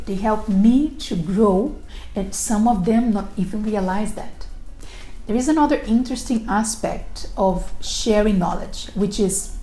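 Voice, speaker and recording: Woman, non-native English accent, soft-edged mellow tone, careful phrasing, recruiter presenting to camera, roomy echo. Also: medium pitch. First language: not English. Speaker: recruiter presenting a video series. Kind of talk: prepared self-introduction to camera. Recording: roomy echo, faint background noise.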